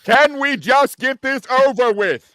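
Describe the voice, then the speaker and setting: Man reading a very high, exasperated captain. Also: unhurried, bright, forceful tone, no echo, full character voice.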